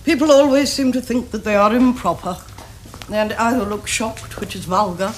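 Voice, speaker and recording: An actress playing a grand, disapproving middle-aged society aunt with an upper-class English accent, pompous and precise. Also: female voice, on the high side, normal speed, husky. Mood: gracious, disapproving. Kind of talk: period stage comedy acting. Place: furnished studio drawing-room set. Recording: noisy old TV drama recording.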